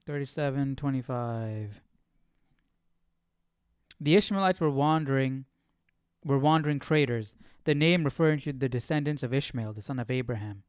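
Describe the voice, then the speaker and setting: A man's voice: mid-range voice, normal speed, pauses often, smooth, no echo, clean studio sound. A man hosting a Bible study livestream, chatting informally with slang and reading out study notes.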